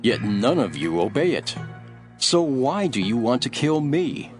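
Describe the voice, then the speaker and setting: A man's voice: mid-range voice, big pitch swings, measured pace, faint music behind. A man playing Jesus as a bold, earnest teacher addressing crowds in an audio Bible drama. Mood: firm, earnest.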